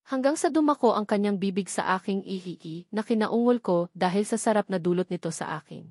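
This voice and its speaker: A woman's voice: medium pitch, darkish tone, no room sound, clean studio sound. A woman reading a first-person romance story as a scripted narration. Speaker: audiobook narrator.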